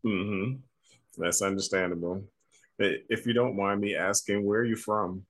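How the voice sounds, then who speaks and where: A man's voice: on the low side, steady tone, a little slow, a bit bright. A man interviewing a guest, asking questions in a friendly, affirming way with frequent "mm-hmm" and "okay".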